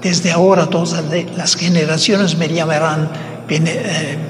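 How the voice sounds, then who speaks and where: A man preaching a sermon in Spanish, which is not his first language, speaking haltingly and searching for words. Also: on the high side, fairly even intonation, in a large hall.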